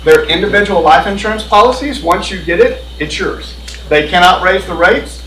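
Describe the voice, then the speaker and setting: A man's voice: sits high, normal speed, a bit bright, a little hiss or hum. A man explaining insurance options to a meeting in a folksy, repetitive way. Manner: folksy, explanatory, repeats himself. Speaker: insurance benefits presenter. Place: board meeting room. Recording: echoey room, mic at a distance.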